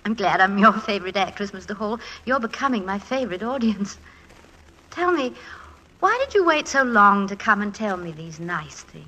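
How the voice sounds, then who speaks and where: An actress playing a poised, witty English stage star, in 1940s radio style. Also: female voice, medium pitch, expressive, moderate pace, poor audio. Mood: gracious, teasing. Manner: poised, gently teasing. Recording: vintage 1940s radio recording.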